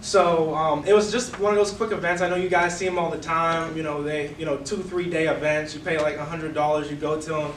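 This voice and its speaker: A young man with a Bahamian accent giving a relaxed, conversational talk to a live audience, full of "you know" and "right?". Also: fairly high pitch, fairly quick, hardly any pauses, slight background noise. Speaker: guest speaker. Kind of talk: casual presentation to a live audience. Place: event room before an audience. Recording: roomy, distant room mic.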